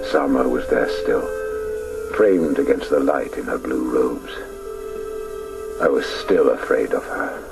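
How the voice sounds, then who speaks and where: Very deep, gravelly wistful storyteller. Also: male voice, measured pace, pauses often, far from the mic, loud music behind the voice, exaggerated voice. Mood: wistful recollection. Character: grown man recalling his boyhood, wistful storyteller. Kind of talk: dramatic radio play narration, first person. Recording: noisy old radio broadcast recording.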